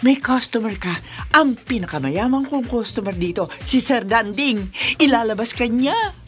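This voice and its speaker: A woman's voice: a little high, lively intonation, normal speed, natural voice. A woman with a Filipino accent playing a brash, greedy, bullying brothel madam in a radio drama, by turns coaxing and domineering. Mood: scolding, threatening. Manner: coaxing with clients, scolding and domineering with staff. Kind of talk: Philippine radio drama acting.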